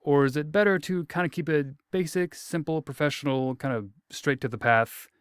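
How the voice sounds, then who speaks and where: A man's voice: on the high side, moderate pace, clear, resonant tone, no echo, studio quality. A man hosting an interview, asking long rambling questions with self-corrections and a light, joking manner. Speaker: interview host.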